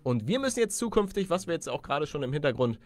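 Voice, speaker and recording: A man's voice: on the high side, big pitch swings, quick pace, darkish tone. A man giving casual, chatty gaming commentary as a let's-play host, using youthful slang and addressing his viewers.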